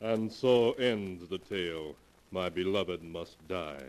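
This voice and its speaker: A man narrating and announcing an old radio mystery show in a measured, dramatic manner. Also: sits low, a little mellow.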